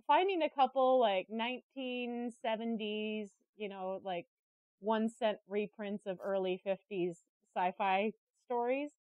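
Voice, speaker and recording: A woman with a fairly high voice chats like a guest swapping stories, giggly and informal. The recording is close and dry but slightly dull and lo-fi. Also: no room sound. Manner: giggly, chatty, animated storyteller. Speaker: interview guest, creative director and artist. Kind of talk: casual conversational storytelling.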